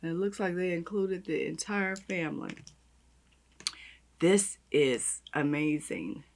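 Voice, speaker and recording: A woman with a raspy voice, chatting and gushing as she does her makeup on camera. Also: lowish voice, slow, darkish tone. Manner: gushing, chatty, talks while applying makeup. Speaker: beauty vlogger. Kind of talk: makeup tutorial chat.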